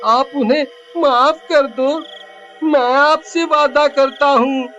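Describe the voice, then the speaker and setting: A performer voicing a polite, earnest little boy begging for mercy, speaking deliberately in a horror cartoon story. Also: sits high, fairly even intonation, a bit bright. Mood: earnest pleading. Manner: pleading, respectful, deliberate. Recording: dull, lo-fi with music. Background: music.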